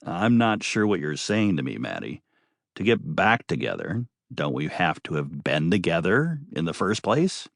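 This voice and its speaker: A man's voice: deep voice, sing-song, normal speed, gravelly, no echo, studio quality, pitched below the performer's own voice, full character voice. A man voicing a mild, cautious, puzzled man in an audiobook, hesitant and reasonable in his replies. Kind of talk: audiobook character voice.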